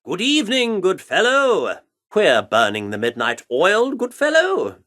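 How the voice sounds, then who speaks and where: A man's voice: high voice, huge pitch swings, a little slow, bright, forceful tone, exaggerated voice. An actor voicing a pompous theatrical man in a video game, declaiming in archaic, mock-Shakespearean bombast. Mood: courtly greeting. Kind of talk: video game character voice lines.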